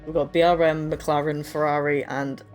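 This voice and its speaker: A man's voice: on the high side, flat delivery, normal speed, faint music behind. A man hosting a casual racing podcast chat, groaning and laughing as he hunts for quiz answers. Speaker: podcast host.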